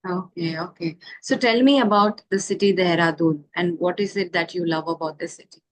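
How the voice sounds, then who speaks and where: A woman's voice: lowish voice, a little fast, poor audio. A woman speaking fluently and encouragingly as a partner in a casual English conversation.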